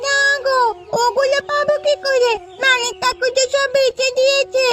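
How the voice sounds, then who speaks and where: A woman voicing an excitable little village girl, an eager tattletale in a cartoon folk tale. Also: very high voice, normal speed, over music. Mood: eager tattling.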